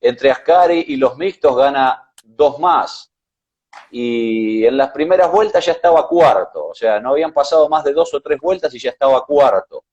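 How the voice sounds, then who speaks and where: A man with an Argentine accent, co-hosting a casual motorsport chat, talking in a measured way with hesitations. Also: mid-range voice, animated, darkish tone, highs cut off. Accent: Argentine Spanish. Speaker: co-host.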